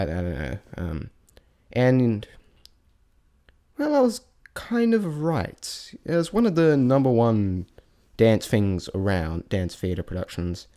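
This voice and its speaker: Man rambling with swooping, animated pitch. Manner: rambling, halting, self-correcting, hesitant. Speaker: vlogger reviewing a stage show. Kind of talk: unscripted spoken review, casual chat.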